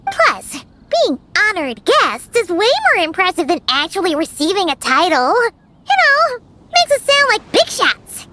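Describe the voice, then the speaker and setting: A woman voicing a tiny, cheeky and excitable female companion creature in a game who talks about herself in the third person. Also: extremely high pitch, measured pace, exaggerated voice. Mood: breezy. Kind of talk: game cutscene voice acting.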